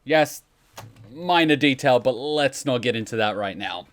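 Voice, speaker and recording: A man's voice: mid-range voice, lively intonation, measured pace. A man explaining a game's story in casual, chatty, lightly joking reaction commentary. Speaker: streamer explaining game story.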